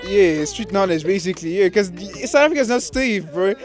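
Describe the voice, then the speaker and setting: Teen guy from Nigeria, slangy, laid-back, slightly higher voice, music loud underneath. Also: lively intonation, brisk. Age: teens. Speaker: interviewee. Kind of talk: casual street interview.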